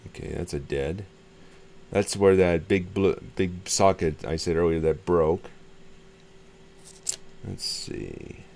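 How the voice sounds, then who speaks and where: A man's voice: low voice, lively intonation, slow, pauses often, darkish tone. A man talking casually and tentatively through a hands-on repair demonstration, thinking aloud and often repeating himself as a hobbyist host. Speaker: hobbyist video host showing a radio repair. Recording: clear background noise.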